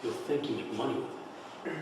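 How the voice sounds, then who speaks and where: A man's voice: mid-range voice, a little fast, close to an everyday voice. A man playing a blunt, disapproving friend of a writer in a table read of a stage play. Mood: reproachful. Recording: echoey room, distant mic, noise.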